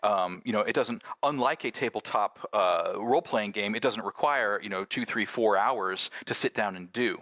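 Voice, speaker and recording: A man's voice: lowish voice, normal speed, few pauses, bright, forceful tone, no room sound. A man talking casually on a panel as a game designer, in an enthusiastic and discursive manner.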